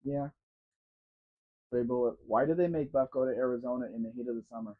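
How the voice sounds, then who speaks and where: A man's voice: mid-range voice, brisk, narrow, tinny audio. Chatty man hosting a live Q&A. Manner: chatty, answers chat by name, wanders while talking. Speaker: livestream host. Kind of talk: casual live Q&A chat.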